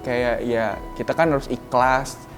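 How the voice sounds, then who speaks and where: A man's voice: medium pitch, fairly even intonation, measured pace, soft background music. A man of about twenty-one answering interview questions in a colloquial, slangy way. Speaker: content writer being interviewed.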